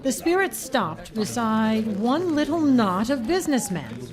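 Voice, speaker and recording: A woman narrating a live radio drama, phrasing deliberately like a storyteller. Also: a little high, big pitch swings, a crowd in the background.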